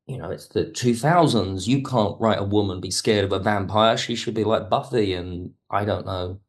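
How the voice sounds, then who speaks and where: Low-pitched man, reflective interview guest. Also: normal speed. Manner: discursive, circles back and rephrases. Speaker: guest, audio drama writer. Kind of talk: podcast interview, reflective conversation.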